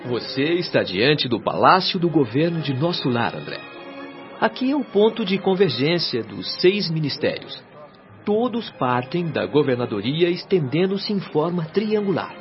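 Solemn spirit helper, varied pitch. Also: male voice, big pitch swings, moderate pace. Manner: formal, didactic, admiring. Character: devoted, solemn male resident helper of a spirit colony. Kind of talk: Brazilian radio soap acting. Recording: dull radio drama with music behind.

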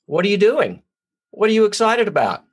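A man's voice: high-pitched, big pitch swings, fairly quick, breathy edge. A man hosting a podcast, reading an upbeat, promotional intro and chatting with his guest. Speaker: podcast host.

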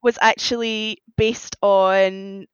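A woman's voice: medium pitch, little pitch movement, slow pace, smooth, no echo. Enthusiastic woman, slightly dull dry audio. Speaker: podcast guest, fan fiction writer. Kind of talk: casual chat.

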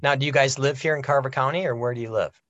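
A man's voice: medium pitch, fast talker, a bit bright, no room sound, dull audio. A man hosting an interview, asking friendly, loose questions with fillers. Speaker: interview host. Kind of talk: casual interview.

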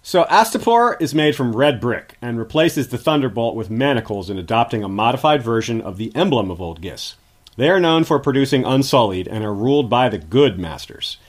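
A man's voice: mid-range voice, big pitch swings, normal speed. A man speaking as a guest history expert, giving scripted, articulate explanations in an informative lecturing manner.

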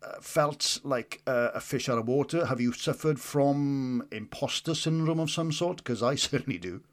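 A man's voice: medium pitch, moderate pace. An older man hosting a podcast interview, asking questions hesitantly with many restarts. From Wales. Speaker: podcast host.

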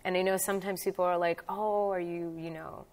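A woman's voice: lowish voice, fairly even intonation, a little fast, a little mellow. A woman from New Jersey, a dance instructor guest on a podcast, telling long chatty stories and laughing easily. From New Jersey, USA. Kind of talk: casual interview chat.

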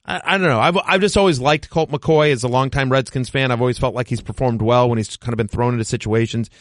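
A man in his forties hosting a casual sports podcast, joking and animated. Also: mid-range voice, fast, no echo. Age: 40s. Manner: animated, self-deprecating joker. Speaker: podcast host.

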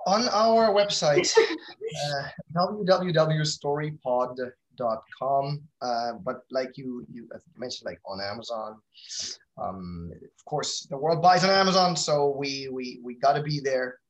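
Man, medium pitch, casual interview guest, halting speech with repeated words, self-deprecating. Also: muffled recording. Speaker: podcast guest, company founder.

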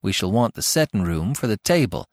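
A man's voice: fairly low pitch, sing-song, a little fast, no room sound, clean studio sound. A man voicing a bossy, spirited young farm girl who takes charge of the kitchen. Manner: commanding, spirited.

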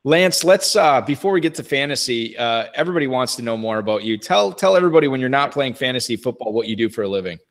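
An upbeat man hosts a sports talk show from a studio, rattling off sponsor reads and phone numbers between friendly banter. His voice sits at an ordinary middle pitch, relaxed and chatty. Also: fast, few pauses. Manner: upbeat, chatty, reads plugs and contact details. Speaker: podcast host. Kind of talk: casual radio show hosting with sponsor reads. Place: radio studio.

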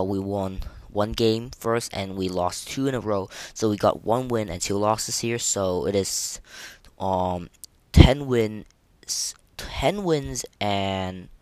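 A man hosting a casual solo gaming podcast, speaking in a halting way and often stumbling and repeating words. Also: lowish voice, dry recording. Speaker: podcast host.

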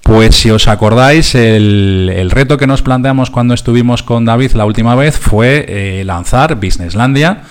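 A man's voice: medium pitch, moderate pace, clear, resonant tone. A man with a Spanish accent from Spain hosting a radio programme in an informal, conversational and explanatory way.

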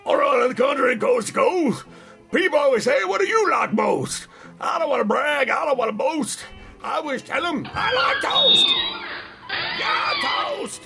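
A man playing a frantic, over-excited, nervously fawning character in a comic audio drama. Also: very high voice, a little slow, vocal fry, shouting, soft background music, exaggerated voice. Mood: manic, exuberant. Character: frantic toast-singing man, nervously fawning on a scary child.

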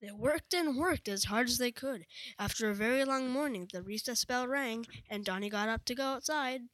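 Female narrator, fairly high voice, scripted school readers' theatre storytelling, dry close sound. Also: normal speed, fairly bright tone, dry recording, poor audio.

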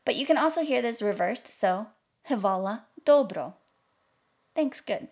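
A woman presenting a scripted podcast language lesson, repeating foreign phrases slowly and clearly. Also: fairly high pitch, animated, a little mellow. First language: English.

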